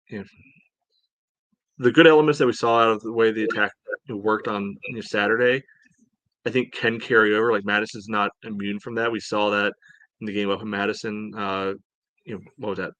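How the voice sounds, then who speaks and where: Low-voiced man in halting sports chat. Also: fairly bright tone, no echo, highs cut off. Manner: halting, peppered with "you know". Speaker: podcast co-host. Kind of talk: casual sports analysis chat.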